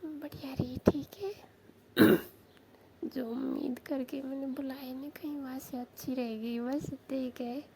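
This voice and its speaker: A woman's voice: high voice, steady tone, a little slow, darkish tone. A woman playing a coy village sister-in-law on a phone call, with a Rajasthani accent and a languid, teasing manner. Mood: fond. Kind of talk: staged phone call, casual chat.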